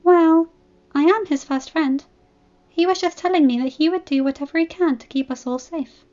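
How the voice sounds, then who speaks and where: A woman voicing a shy, timid young female pegasus pony who speaks softly and haltingly. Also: high-pitched, lo-fi sound, voice pushed up, natural voice. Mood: bashful. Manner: soft hesitant stammering.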